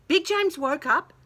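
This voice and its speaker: A woman reads a picture book to little children at an unhurried pace. Her pitch moves up and down dramatically in a bright voice, and she chats casually to her young listeners, sometimes in a hushed tone. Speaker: children's story reader. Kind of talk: animated picture-book read-aloud with casual asides.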